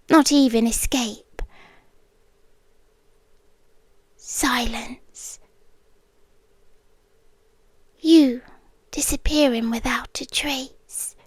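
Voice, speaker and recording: An ancient, inhuman girlfriend played by a woman speaks in a high, breathy British voice that often slips into whispers. She is possessive yet wryly polite, taking her time over each phrase. The ASMR roleplay sounds intimate and very dry, with no room around it. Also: dry recording, heavily acted. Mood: calm, menacing. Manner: soft, breathy, half-whispered, deliberate, wryly courteous. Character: possessive ancient long-tailed nonhuman yandere girlfriend, centuries old.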